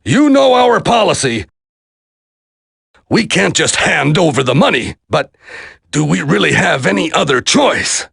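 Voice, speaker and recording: A gruff, grave older male government secretary in a video game. His voice is raspy and heavily gravelled, a little high, with weary authority and swinging intonation. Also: very animated, unhurried, long pauses, a bit bright, no echo, clean studio sound, exaggerated voice. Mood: grave, troubled. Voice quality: gravelly, husky.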